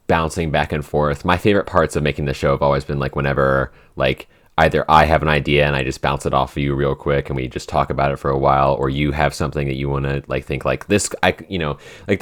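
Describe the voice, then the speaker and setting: Very deep-voiced rambling man. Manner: rambling, heavy use of "like". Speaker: podcast co-host, show writer. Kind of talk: casual chat.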